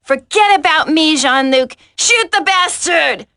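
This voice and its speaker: An actress playing an anguished, defiant young special agent held captive in a video game. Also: female voice, sits high, lively intonation, measured pace, bright, forceful tone, dry recording, studio quality, exaggerated voice. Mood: desperate, defiant. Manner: anguished, urgent, breaking.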